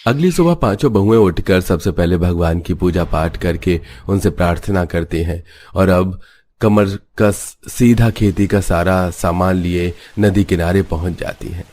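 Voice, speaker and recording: A man with a low voice tells a scripted story. He narrates it as for a moral cartoon tale. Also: unhurried, darkish tone.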